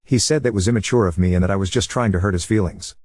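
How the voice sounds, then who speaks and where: Male narrator, lowish voice, fast and matter-of-fact reading, dry close mic. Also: darkish tone, no room sound. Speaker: narrator reading a forum post. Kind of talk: reading a forum post aloud.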